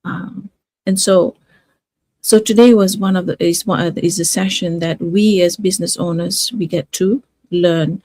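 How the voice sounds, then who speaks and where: A middle-aged woman from Fiji hosting a casual motivational livestream, talking in a halting, reflective way and drawing out words for emphasis. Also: lowish voice, steady tone, dark tone. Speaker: livestream host, nurse and online business owner.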